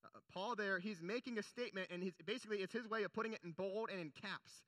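A man's voice: high voice, quick pace, a bit bright, no room sound. A young man preaching a sermon in an earnest, conversational way, with casual asides between scripture readings. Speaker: pastor.

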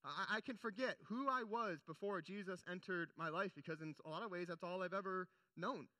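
A man's voice: sits high, fairly quick, sharp tone, dry recording, poor audio. A young man preaching a sermon in an earnest, conversational way, with casual asides between scripture readings. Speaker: pastor.

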